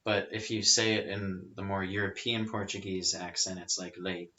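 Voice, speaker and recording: A man with a lowish, bright, crisp voice talks easily as a podcast guest. He explains things at an easy pace with lots of casual fillers. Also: normal speed. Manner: relaxed, explanatory, with frequent fillers. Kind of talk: casual chat. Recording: rough lo-fi audio.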